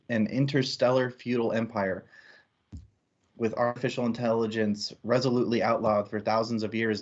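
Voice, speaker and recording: A man's voice: lowish voice, muffled recording. A man hosting a book discussion livestream as one of two co-hosts. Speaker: co-host of a book discussion show. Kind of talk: livestream hosting, part casual, part prepared intro.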